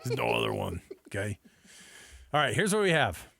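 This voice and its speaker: Sports talk host, male, medium pitch, chatty and folksy, dry close-mic studio delivery. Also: very animated, normal speed, creaky, bright, forceful tone, dry recording. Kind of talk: casual sports radio talk. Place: radio studio. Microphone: close studio microphone.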